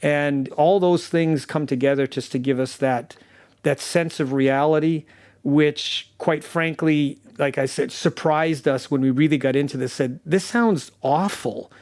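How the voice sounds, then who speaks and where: Slightly high-voiced man explaining his craft. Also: unhurried, darkish tone. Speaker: audio drama sound designer. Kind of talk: explanatory interview talk.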